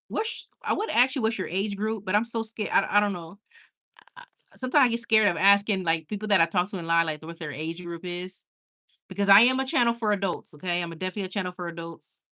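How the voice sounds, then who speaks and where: A young woman with a Caribbean Spanish accent, hosting a casual late-night livestream from home and chatting with viewers in a bubbly, talkative way. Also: mid-range voice. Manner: chatty, bubbly, squeals with excitement, coos to her dog. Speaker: livestream host. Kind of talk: casual live chat reading viewer comments.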